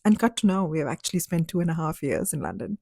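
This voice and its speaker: A woman's voice: low-pitched, very animated, quick pace, vocal fry, mellow, no room sound, clean studio sound. A woman in her thirties from Dubai, telling her personal story in a candid, reflective, conversational way. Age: 30s. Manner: candid, reflective, conversational with fillers like "like" and "you know". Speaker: vlogger.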